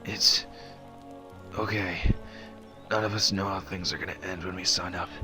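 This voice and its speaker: A man playing a dying, resigned marine captain in an audio drama, his voice strained and halting. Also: deep voice, level tone, over music, lightly acted. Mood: pained, resigned.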